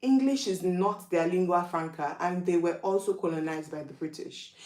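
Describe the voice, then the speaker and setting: A Nigerian-accented woman talks through her thoughts in a relaxed vlog monologue. She keeps asking rhetorical questions as she reflects aloud. Her voice sits a little low, and the recording carries a noticeable room echo. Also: normal speed. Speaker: vlogger. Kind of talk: casual opinion monologue.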